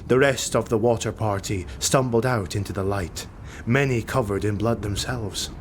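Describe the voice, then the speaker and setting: Male fantasy narrator, noisy rumbling background. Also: normal speed. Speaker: audiobook narrator. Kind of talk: scripted dramatic fantasy narration.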